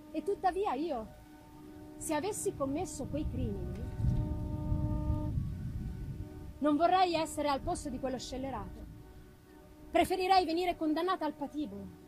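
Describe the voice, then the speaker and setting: A woman's voice: high-pitched, lively intonation, moderate pace, long pauses, faint music behind, rough recording. A woman voicing an anguished, tender young woman who pleads with her beloved cousin in a dramatic reading. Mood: distressed.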